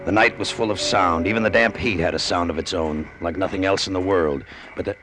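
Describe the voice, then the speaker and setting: An actor plays a hard-boiled American male pilot, haunted and greedy. His voice is low and his delivery clipped and brooding. It is vintage radio drama, with music playing underneath. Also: steady tone, brisk, lightly acted. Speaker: actor narrating in character. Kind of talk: old-time radio drama acting.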